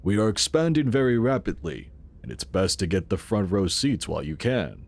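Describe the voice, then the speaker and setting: Casting: courteous, persuasive sci-fi founder, low voice with very lively intonation. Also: male voice, normal speed, creaky, exaggerated voice. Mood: courteous, reassuring. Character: assured male founder of a futuristic secret city. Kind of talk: audio drama acting.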